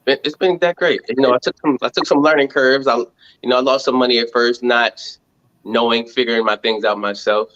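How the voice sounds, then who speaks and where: A man, answering casual interview questions in a halting, reflective way, thinking aloud. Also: medium pitch, fairly even intonation, a bit bright, muffled recording. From Montgomery, Alabama, United States. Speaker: reality show contestant interviewed as guest.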